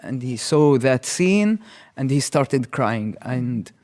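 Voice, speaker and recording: Halting man. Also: mid-range voice, animated, darkish tone. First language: Arabic. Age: 30s. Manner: halting, repeats words as he thinks. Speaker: interview guest. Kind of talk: reflective personal storytelling.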